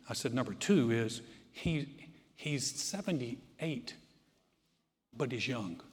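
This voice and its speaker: Preaching man in a reverberant hall. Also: medium pitch. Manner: builds from conversational teaching to loud, emphatic preaching. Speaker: pastor. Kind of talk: sermon.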